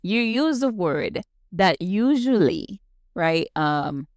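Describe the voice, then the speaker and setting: Slow, smooth-voiced Haitian woman host. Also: on the low side, sing-song, dry recording, clean studio sound. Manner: soft-spoken, affirming listener with frequent short agreements. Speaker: podcast host. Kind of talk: casual conversation.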